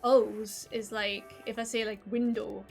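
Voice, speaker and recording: Geordie lass rambling to chat mid-stream, higher-ish voice, soft music behind. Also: female voice, flat delivery, unhurried, gravelly. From North East England. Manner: rambling, many "like" fillers, self-deprecating. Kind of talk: casual chat while gaming, reading chat.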